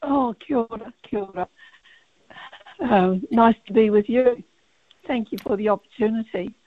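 A woman with a croaky, gravelly voice, a barrister speaking as a guest on a radio interview over the phone, halting with many fillers and restarts. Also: fairly high pitch, dry recording. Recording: tinny phone line.

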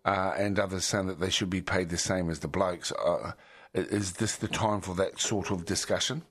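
A man hosting a radio interview, asking measured questions with some hesitation. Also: deep voice, a bit bright, slightly rough, no room sound. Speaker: radio host.

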